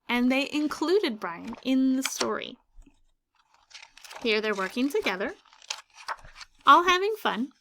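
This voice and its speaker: A woman teacher reading a children's picture book aloud, pausing to ask her listeners questions about the story. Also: fairly high pitch, a bit bright, a little hiss or hum.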